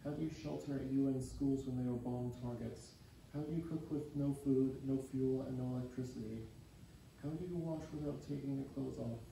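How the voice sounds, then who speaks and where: A young adult man reading a poem aloud in a measured, solemn recitation. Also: medium pitch, steady tone, vocal fry, mellow. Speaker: poetry reader. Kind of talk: poetry recitation. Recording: very echoey, distant, faintly noisy recording.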